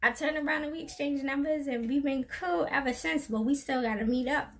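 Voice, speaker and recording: Chatty higher-voiced woman hosting a live. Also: fairly quick, fairly bright tone. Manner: chatty, laughs often while telling stories. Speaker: live stream co-host. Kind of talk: casual live-stream chat.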